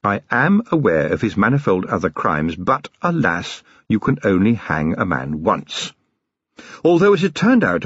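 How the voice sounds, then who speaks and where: A man's voice: medium pitch, slightly rough, no echo, lo-fi sound, pitched above the performer's own voice. A man voicing a cold, ironic middle-aged city tyrant who speaks in a dry, precise, sardonic way.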